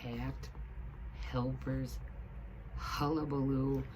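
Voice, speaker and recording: A woman reads a children's picture book aloud in a low voice at an unhurried pace. She is expressive and teacherly, adding asides, with faint noise in the background. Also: low-quality recording. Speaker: teaching assistant reading a story to children.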